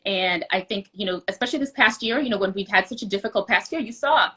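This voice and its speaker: A woman guest answers interview questions at a quick clip. Her voice is bright with a husky edge, and she explains things earnestly and conversationally. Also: mid-range voice. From born outside the US. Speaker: interview guest, political candidate.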